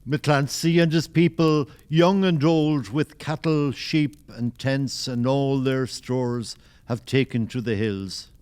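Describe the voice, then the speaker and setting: A man playing the solemn narrator of a historical audio play, with grave, measured dramatic delivery. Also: mid-range voice, fairly even intonation, darkish tone, clear, resonant tone, close to an everyday voice.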